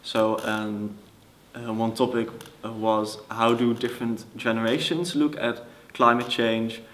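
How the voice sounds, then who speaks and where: A young man explaining things in an interview, pausing often as he finds his words. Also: mid-range voice, little pitch movement, fairly bright tone, a little hiss or hum. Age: young adult. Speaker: youth theatre project participant.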